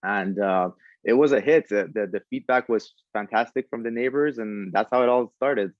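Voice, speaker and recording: Dull-sounding, low-voiced man being interviewed. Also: moderate pace, no echo. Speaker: podcast guest, tech entrepreneur. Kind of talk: conversational interview answers.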